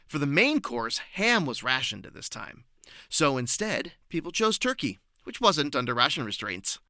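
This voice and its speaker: A man giving prepared, articulate answers as an interview guest, informative and measured in his phrasing. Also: on the high side, very animated, sharp tone, no room sound, clean studio sound.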